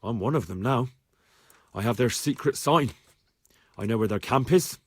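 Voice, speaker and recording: An actor playing an earnest, respectful young peasant hero who goes undercover as a spy in a video game. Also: male voice, medium pitch, lively intonation, normal speed, breathy edge, no room sound, studio quality. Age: young adult.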